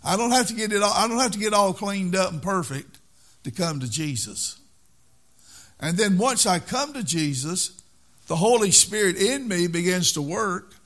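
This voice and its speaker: A man's voice: sits high, animated, moderate pace, fairly bright tone. An older man preaching a sermon in an earnest way, repeating key phrases for emphasis.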